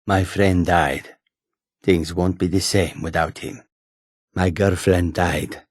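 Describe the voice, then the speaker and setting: A male actor playing a courteous, sly humanoid cat trader in a fantasy video game, with a gravelly, husky voice and measured, formal speech. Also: deep voice, no echo, studio quality, heavily acted. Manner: measured, formal, refers to himself in third person.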